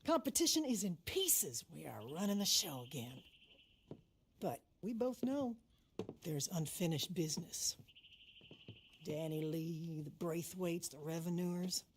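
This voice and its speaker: Older female gang boss, authoritative, salty-tongued, vengeful, expressive low voice, dramatic game cutscene. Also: unhurried, slight background noise. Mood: calm, commanding. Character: commanding, vengeful older matriarch of a criminal moonshine outfit.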